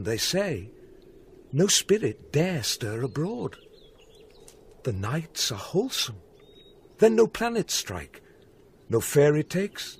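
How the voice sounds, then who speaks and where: A devout awestruck watchman, shakespeare radio play, slow w big pitch swings, noisy bg. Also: vocal fry, full character voice. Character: awestruck, devout watchman guarding a castle at night. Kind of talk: classical Shakespearean radio drama acting.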